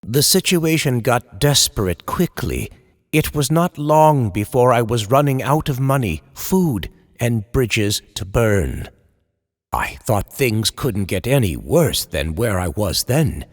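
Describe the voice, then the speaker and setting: A man's voice: mid-range voice, animated, takes it slowly. An actor playing an anguished male horror writer, delivering a theatrical, eloquent confession in old time radio horror style. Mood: grave, regretful. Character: anguished horror writer, a man confessing his descent into madness. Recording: long reverberant hall echo.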